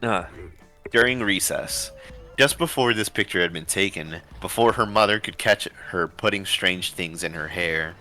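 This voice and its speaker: A man's voice: low-pitched, moderate pace, bright, forceful tone, faint music behind. A man reading a story aloud with casual asides, sometimes stumbling over his words and correcting himself. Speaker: story reader and show host.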